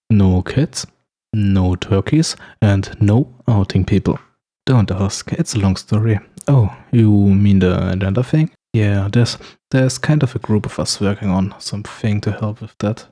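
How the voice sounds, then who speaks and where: Husky, mellow, snarky male villain, acted by a man. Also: on the low side. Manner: sarcastic, playful, bantering, with chuckles. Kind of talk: ASMR roleplay monologue to the listener.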